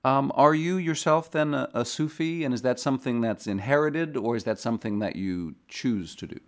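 A man's voice: medium pitch, normal speed. A man hosting a podcast, introducing his guest and asking curious, friendly questions. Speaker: podcast host. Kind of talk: interviewing.

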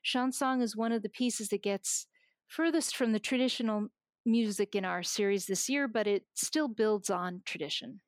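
A woman's voice: medium pitch, normal speed. A woman speaking as a guest in a casual conversation. She talks with enthusiasm and some restarts. The sound is dry and close, with no room echo. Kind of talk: casual chat. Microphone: remote recording outside the studio.

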